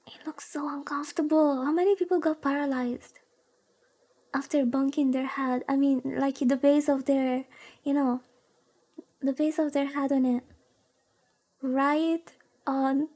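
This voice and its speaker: A woman's voice: sits high, dark tone. A woman streamer reading a visual novel aloud in a soothing way, with cutesy, gushing chatty asides. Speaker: VTuber streamer.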